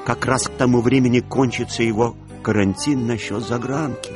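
A man reading scripted literary narration for a radio drama, measured and colloquial in his storytelling. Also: medium pitch, fairly even intonation. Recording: music bed behind the voice.

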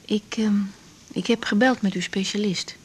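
An actress playing a young, serious, conscientious doctor in a radio drama, speaking carefully and hesitantly. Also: female voice, medium pitch, fairly even intonation, moderate pace, husky, lightly acted. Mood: careful, probing. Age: young adult. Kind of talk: 1980s Dutch radio drama acting.

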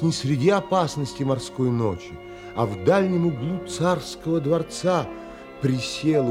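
An actor playing an awestruck, romantic seafaring man who tells his story in reverent, dramatic literary phrases in an audio drama. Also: mid-range voice. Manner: reverent, dramatic, with long pauses.

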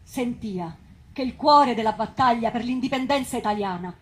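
An actress playing an ardent patriot in a lofty, literary dramatic reading of memoirs. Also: female voice, fairly high pitch, normal speed, lightly acted. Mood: reverent. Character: ardent patriot recalling Rome with reverence.

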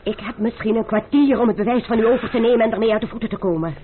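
A proud chevalier, acted by a man speaks in a high, imperious voice, curt and full of impatient menace. The radio play sounds thin and band-limited, with faint hiss. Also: very fast, narrow, tinny audio, lightly acted. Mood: urgent. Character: haughty, impatient masked chevalier, proud and threatening. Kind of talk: old radio drama acting.

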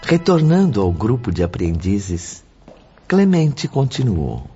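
An actor playing a solemn narrator of a radio drama, telling the story in a formal, deliberate manner. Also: male voice, lowish voice, big pitch swings, mellow. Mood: solemn, expressive. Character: solemn male storyteller addressing the listener. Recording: dull lo-fi audio. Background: background music.

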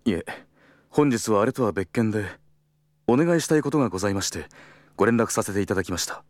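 An earnest, principled office worker played by a man in an audio drama, with a fairly low voice. He moves between formal, deferential business speech and blunt private thought, in a dry, close recording. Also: animated, fast, darkish tone, no echo, close to an everyday voice. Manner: formal and deferential aloud, blunt in inner monologue. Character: earnest, principled male travel agency employee. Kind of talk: Japanese audio drama acting.

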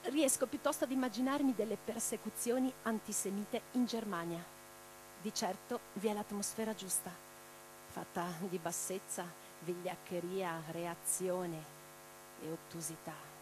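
A woman playing an imprisoned, defiant revolutionary in a radio theatre monologue, reading her letters with theatrical, deliberate phrasing. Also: mid-range voice, steady tone, close to an everyday voice. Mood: sombre, tender. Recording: clearly noisy track with mains hum.

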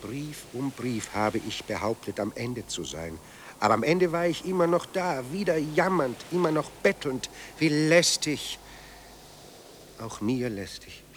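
An actor playing a sardonic, bitter dead writer, newly sober, speaking with ironic, theatrical eloquence in a radio drama. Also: male voice, medium pitch, sing-song, measured pace, a little hiss or hum. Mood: self-accusing.

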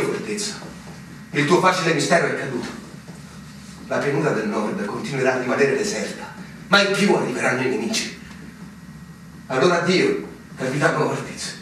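A man's voice: medium pitch, expressive, lightly acted. Earnest young officer, male actor, solemn radio theatre delivery, recorded from a distance with faint hiss. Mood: solemn farewell. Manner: solemn, literary, measured. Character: melancholy, earnest young male army lieutenant. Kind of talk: theatrical radio drama acting. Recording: very echoey stage, distant mic, hiss.

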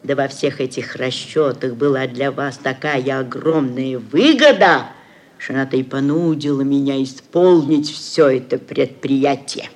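A woman's voice: rumbling low, a little slow. An actress playing a scheming, sugary middle-aged society mother in a classic radio play, cajoling and declaiming theatrically. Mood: persuasive. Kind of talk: classic Russian literary radio play acting.

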